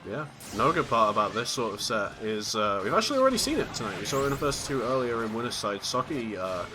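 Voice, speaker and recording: A man's voice: mid-range voice, sing-song, fast talker, over music. A man doing live fighting-game play-by-play while nursing a cold. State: has a cold. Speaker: esports commentator.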